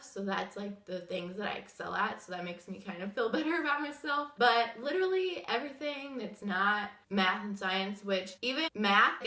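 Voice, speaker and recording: A woman's voice: medium pitch, sharp tone, reverberant. A young woman with a sick, wheezy voice, chatting and rambling through a casual story time vlog. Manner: chatty, rambling with many fillers. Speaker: vlogger.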